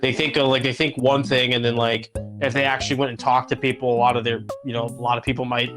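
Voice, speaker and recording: A man's voice: medium pitch, little pitch movement, fast talker, music in the background. A man talking casually on a podcast call, thinking aloud and hedging his points. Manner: hedging, thoughtful, loose with fillers. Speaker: podcast guest.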